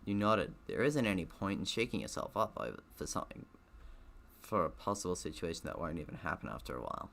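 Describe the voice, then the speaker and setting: Low, creaky-voiced man reading a game aloud. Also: sing-song, quick pace, fairly bright tone. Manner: breaks off reading for sarcastic, grumbling asides. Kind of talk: let's play commentary, reading visual novel text aloud.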